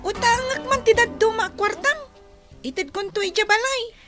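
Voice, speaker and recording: A woman's voice: very high voice, normal speed, loud music behind the voice. A woman with an Ilocano accent playing a spendthrift, wheedling young daughter in a radio comedy drama, whining and pushy. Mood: sulky, pleading.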